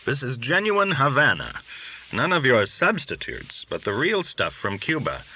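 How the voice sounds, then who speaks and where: A man's voice: medium pitch, wildly swooping pitch, a little slow, bright, forceful tone, slight background noise, full character voice, poor audio. A man reading an audiobook, voicing a worldly, cynical, boastful underworld dealer who talks in glib, argumentative rhetorical questions. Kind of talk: audiobook character dialogue.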